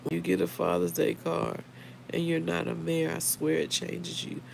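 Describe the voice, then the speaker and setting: A middle-aged American woman, a little hoarse, chatting casually and earnestly on a livestream and speaking straight to her listeners. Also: lowish voice, monotone, moderate pace, a little mellow, slight background noise, low-quality recording. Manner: conversational, earnest, addresses listeners directly. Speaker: livestream host. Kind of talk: casual live chat reading viewer comments.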